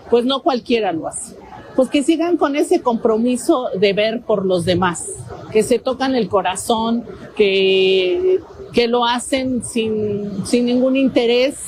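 Mexican woman merchant, halting speech with fillers, field recording at a community event with clear background noise. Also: on the high side, low-quality recording. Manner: hesitant, many fillers and restarts, grateful. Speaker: interviewee, local merchant. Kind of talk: spontaneous interview statement.

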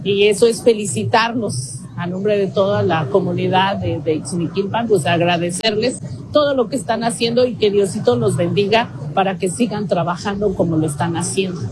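A woman's voice: mid-range voice, normal speed. A local woman, a vendor, giving a spontaneous interview at a community event, speaking hesitantly with many fillers and restarts. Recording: field recording with clear background noise.

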